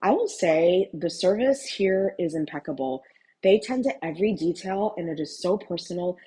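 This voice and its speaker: A woman's voice: lowish voice, lively intonation, moderate pace, mellow. A middle-aged woman from Houston, a real estate broker, giving an enthusiastic, admiring tour of a hotel suite indoors, recorded on a handheld camera. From Houston, USA. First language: Cantonese. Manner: enthusiastic, admiring, mildly rambling with fillers. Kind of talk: hotel room tour vlog.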